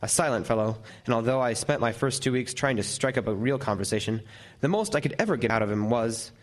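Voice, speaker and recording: A man's voice: mid-range voice, animated, close to an everyday voice. A man playing a lighthouse keeper who tells his tale as dramatic first-person narration in old-time radio horror style. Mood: brooding, vivid. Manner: vivid, deliberate storytelling with lingering descriptions. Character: lighthouse keeper recounting a frightful night.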